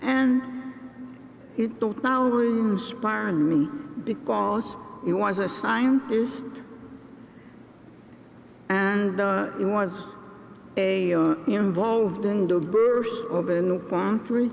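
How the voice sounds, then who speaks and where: An older woman from Brazil giving a graduation address, speaking in a deliberate, halting way with pauses and restarts. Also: medium pitch, creaky. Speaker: commencement speaker. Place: large ceremony venue. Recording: reverberant hall with faint noise.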